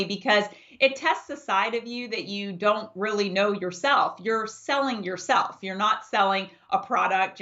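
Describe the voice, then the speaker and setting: A woman in her forties, a native Farsi speaker raised in the United States, giving steady, emphatic answers as a political candidate in an interview. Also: mid-range voice. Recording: dull lo-fi audio.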